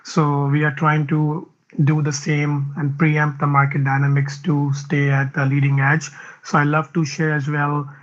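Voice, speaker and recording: A man from Canada speaking on a panel in an earnest, measured way. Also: on the high side, completely flat delivery, lo-fi sound. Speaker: panelist, realtor. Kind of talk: conversational panel talk.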